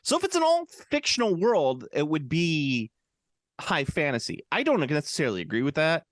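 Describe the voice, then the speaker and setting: A man co-hosting a podcast, talking in a loose, jokey way with a lot of restarts and fillers. His pitch swings widely as he goes. The sound is close and dry, with almost no room. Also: sing-song, normal speed, dry recording. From United States. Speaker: podcast co-host. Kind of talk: casual chat.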